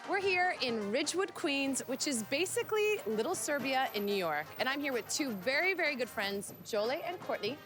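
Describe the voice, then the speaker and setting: A woman's voice: high-pitched, very animated, normal speed, few pauses, over music. A woman narrating a travel show voice-over in an upbeat, scripted way.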